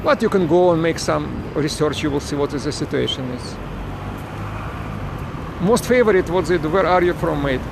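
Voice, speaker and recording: A man's voice: fairly high pitch, moderate pace, creaky, pauses often. Man streaming from a noisy street. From Ukraine. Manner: non-native broken English, repeats and restarts words. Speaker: parent livestreaming a protest. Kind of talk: live street broadcast answering viewers. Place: outdoors on a city street with traffic. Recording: noisy outdoor phone recording with traffic.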